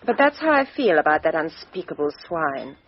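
Cold defiant young woman, husky, lots of pitch swing, old radio sound. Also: very animated, moderate pace, full character voice. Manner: crisp, cold, outspoken. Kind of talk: 1950s radio drama acting. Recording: dull old radio recording.

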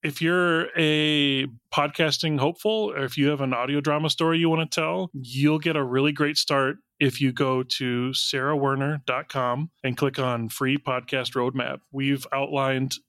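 A man gives candid, reflective interview answers in an earnest way. His medium-pitched voice has noticeable fry, captured dry with no room sound. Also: fairly even intonation, normal speed, hardly any pauses, a bit bright, dry recording. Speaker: guest, audio drama writer and director.

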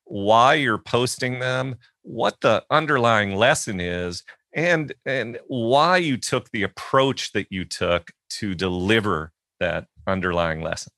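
A man's voice: fairly low pitch, lively intonation, takes it slowly, vocal fry, pushed and bright, no room sound, clean studio sound. A man hosting a podcast, speaking in an earnest, emphatic way. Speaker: podcast host. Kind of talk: casual interview talk.

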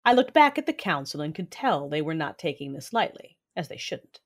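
Proud, vengeful Sith commander played by a woman, formal and measured, narrating her own sci-fi audio drama with authority. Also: low-pitched, big pitch swings. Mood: controlled, impatient. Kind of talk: first-person audio drama narration and dialogue.

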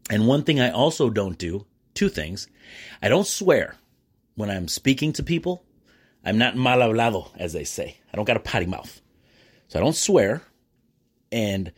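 A middle-aged American man telling personal stories as a podcast host, chummy and animated, often acting out bits of conversation. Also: mid-range voice. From United States. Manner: animated, self-describedly chummy and jokey; acts out quoted exchanges. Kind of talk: casual solo podcast monologue, storytelling.

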